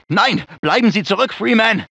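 An actor playing an anxious, fussy middle-aged scientist in a German video game, formal, worried and precise. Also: male voice, high-pitched, lively intonation, slow, gravelly, sharp tone, no room sound, heavily acted. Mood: alarmed, distressed. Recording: dull lo-fi game audio, dry.

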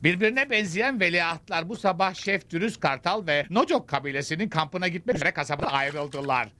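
A man's voice: fairly high pitch, brisk, a little hiss or hum. A man voicing a dry, sardonic talking horse in a dubbed cartoon. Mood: informative. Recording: loud music behind the voice.

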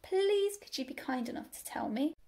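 A woman's voice: high-pitched, sing-song, normal speed, a little mellow. A woman voicing a proud, exasperated old female sheepdog with a commanding manner in an animal storybook. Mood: strained politeness. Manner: barking, commanding, strained politeness.

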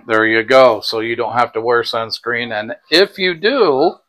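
A man's voice: medium pitch, unhurried, a bit bright. A man in his sixties chatting casually as he gives practical tips on a vlog, recorded indoors on a clip-on mic. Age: 60s. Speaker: vlog co-host. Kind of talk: casual chat giving packing tips. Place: indoors in a small home kitchen and bedroom. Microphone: clip-on lapel mic.

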